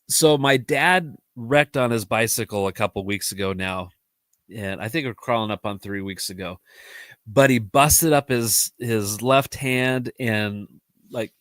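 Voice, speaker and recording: A man's voice: lowish voice, a bit bright, no echo. A man hosting a live podcast, talking casually with a nasal, allergy-stuffed voice, rambling, restarting his sentences and laughing often. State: congested from allergies. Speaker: podcast host. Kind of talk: casual solo livestream talk.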